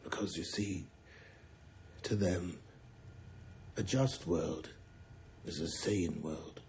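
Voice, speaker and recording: A man playing a weary, disillusioned Soviet scientist recording a secret confession in a screen drama, hushed, halting and resigned. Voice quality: hushed, low. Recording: faint noise, distant cassette-tape monologue.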